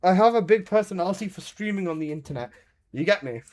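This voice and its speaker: Joking young man streaming games, fairly high. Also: animated, quick pace, darkish tone. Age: 20s. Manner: joking, swearing banter with frequent laughs. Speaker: game streamer. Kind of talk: casual live-stream chat reading chat.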